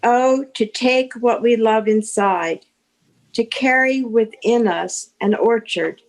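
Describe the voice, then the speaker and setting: A woman reading a poem aloud in a measured, phrase-by-phrase way. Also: fairly high pitch, rough recording. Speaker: participant reading poetry aloud.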